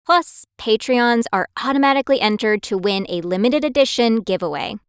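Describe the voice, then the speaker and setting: A woman's voice: a little high, normal speed, clear, resonant tone, dry recording, clean studio sound, natural voice. A woman playing a self-doubting young fighter who narrates her own story in an audio drama. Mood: upbeat announcement.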